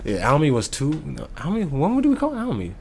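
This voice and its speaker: A man's voice: on the high side, huge pitch swings, fairly quick, creaky, over a noisy crowd. A man co-hosting a podcast, chatting casually in a loose, profane and joking way. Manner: loose, profane, laughing banter. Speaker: podcast co-host. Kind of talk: casual chat. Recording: loud music playing behind.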